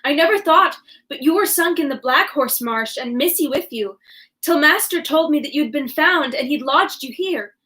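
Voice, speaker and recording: Woman doing a gossipy rustic housekeeper. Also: moderate pace. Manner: broad rustic put-on dialect, high and lively.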